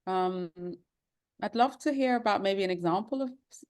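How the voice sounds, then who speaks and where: A woman's voice: medium pitch, moderate pace, a little mellow. A woman interviewing a guest, asking encouraging questions with some hesitant phrasing.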